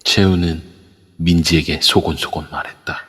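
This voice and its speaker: A man narrating an amateur audio drama in a steady storytelling manner. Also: low-pitched, normal speed, husky. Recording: long echoey reverb.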